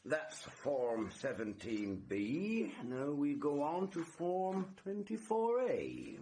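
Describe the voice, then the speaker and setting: A man's voice: a little high, wildly swooping pitch, slow, dark tone, full character voice. An actor playing a plodding, officious policeman with a ponderous manner in a vintage radio drama. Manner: ponderous, bureaucratic. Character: plodding, officious male policeman doing paperwork. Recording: noisy vintage radio recording.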